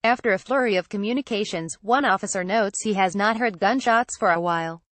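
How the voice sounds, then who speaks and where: A woman's voice: medium pitch, fairly quick, fairly bright tone, no echo. A woman reads scripted news aloud as a narrator, in a synthetic, literal manner. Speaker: news reader.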